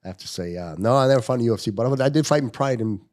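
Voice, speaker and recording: A man's voice: mid-range voice, rapid-fire. A man in his fifties, a podcast guest telling stories in casual chat and acting out the quotes. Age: 50s. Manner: animated storyteller, acts out quotes.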